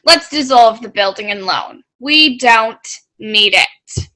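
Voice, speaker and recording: A woman's voice: fairly high pitch, animated, slow, sharp tone, heavily acted, rough recording. A woman playing a cold, greedy old woman in old-time radio style, haughty and blunt. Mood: cold, dismissive. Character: cold, greedy, warped old woman, small-town money boss.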